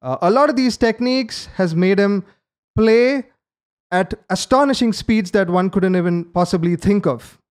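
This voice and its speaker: A man with a notably high voice hosts an online interview. He speaks formally, reading a prepared introduction and posing long, careful questions over a video call. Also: normal speed, darkish tone, smooth. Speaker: interview host.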